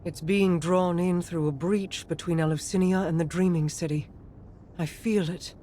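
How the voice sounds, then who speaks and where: An imperious queen of a mystical people acted by a woman in a video game. She speaks fairly low, with solemn, measured authority and regal command, over a faint rumbling background. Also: steady tone, warm tone, slight background noise, natural voice. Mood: grave, certain. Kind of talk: video game dramatic dialogue.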